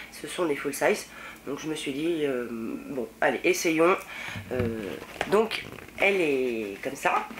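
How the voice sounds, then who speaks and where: A woman in her thirties with a head cold, sounding slightly husky and nasal, chatting casually as she unboxes beauty products at home, rambling with lots of fillers. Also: lowish voice, slight background noise. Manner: chatty and rambling, many fillers, self-mocking. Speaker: beauty vlogger. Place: home room with shelves of nail polish. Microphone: fixed camera at desk distance.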